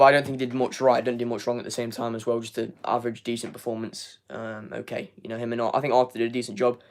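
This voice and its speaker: A young man hosting a live football fan review, losing his voice, rambling with repeated words and many fillers. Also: mid-range voice. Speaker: fan channel host. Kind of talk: live match review, reading chat.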